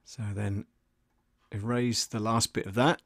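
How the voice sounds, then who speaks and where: A man's voice: lowish voice, flat delivery, measured pace, dry recording, studio quality. A middle-aged man casually talking through his audio editing as a tutorial, sometimes muttering to himself as he works. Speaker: voice-over artist and audio producer giving a tutorial. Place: small indoor desk studio. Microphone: studio desk microphone, close.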